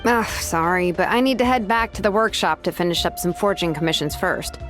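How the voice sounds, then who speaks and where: A woman's voice: fairly low pitch, a little fast, fairly bright tone, natural voice. A woman playing a laid-back, confident young blacksmith in a video game, speaking in an easygoing, casual way. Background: music.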